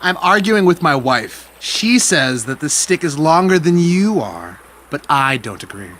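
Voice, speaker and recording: A man plays a clever male spider god, a coaxing trickster from a folktale. The delivery is very animated and earnest, with a little background noise. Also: a little high, unhurried. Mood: puzzled, sly. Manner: wheedling, expressive. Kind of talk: storybook audio drama acting.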